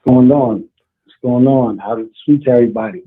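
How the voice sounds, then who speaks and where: A man's voice: mid-range voice, brisk. A man calling in to a live show, speaking emphatically and insistently over a phone line that keeps breaking up. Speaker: caller. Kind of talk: phoned-in comment.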